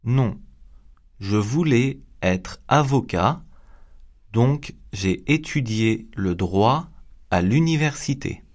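Brief: male actor as a calm interviewee recalling his childhood, very slow, over-clear exam delivery. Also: medium pitch. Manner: slow, carefully enunciated reading for learners. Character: adult man interviewed about his childhood. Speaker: actor in a language exam recording. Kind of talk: scripted exam dialogue.

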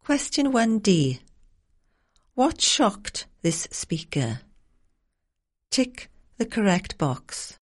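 A woman reads the instructions for a language exam recording in a slow, formal manner. Her intonation is lively, and every word is clearly enunciated. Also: mid-range voice. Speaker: exam narrator.

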